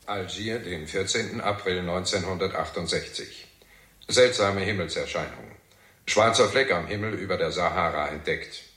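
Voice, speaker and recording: News announcer: echoey room, very low voice, clipped, formal, urgent, vintage radio. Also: male voice, moderate pace, pushed and bright. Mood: urgent, official. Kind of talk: scripted news bulletin in 1960s radio play.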